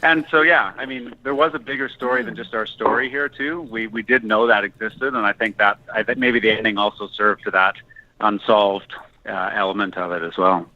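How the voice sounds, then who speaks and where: A man's voice: lowish voice, fairly even intonation, a little fast, lo-fi sound. A man giving reflective, somewhat hesitant answers as a screenwriter in a casual interview.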